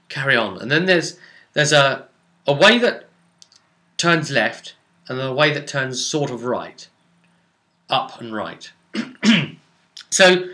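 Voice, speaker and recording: A man with a bright, forceful voice tells the story of a tabletop game he ran. His delivery is casual and rambling, with dramatic descriptions of the action, at a middling pitch. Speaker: game master recapping a tabletop role-playing session.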